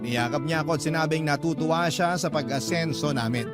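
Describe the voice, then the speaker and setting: A somewhat high-voiced Filipino man narrates a radio story in scripted first person. Guitar music plays prominently behind him. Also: a little fast. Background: acoustic guitar music.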